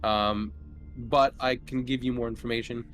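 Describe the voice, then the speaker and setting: A man's voice: medium pitch, fairly even intonation, normal speed, a bit bright, soft background music. A man narrating a tabletop horror game as game master, conversational and descriptive. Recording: noticeable background noise.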